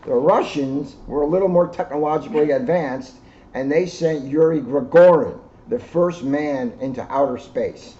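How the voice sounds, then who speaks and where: Man reading a storybook, soft mellow tone. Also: on the high side, normal speed. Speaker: police officer reading a storybook aloud. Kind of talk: children's storybook read-aloud with casual asides. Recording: dull lo-fi sound, faint background noise.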